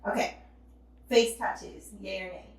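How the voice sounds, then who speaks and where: A woman's voice: mid-range voice, steady tone, unhurried. A woman hosting a casual chat interview with a playful, exuberant, giggly manner, recorded in a very echoey room at a distance from the mic. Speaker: podcast host.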